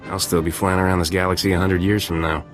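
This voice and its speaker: A brash starship smuggler captain , acted by a man, has a very deep voice and a dry, laconic delivery. He sounds tough and cocky, as a video game hero, over faint music. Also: little pitch movement, quick pace, natural voice.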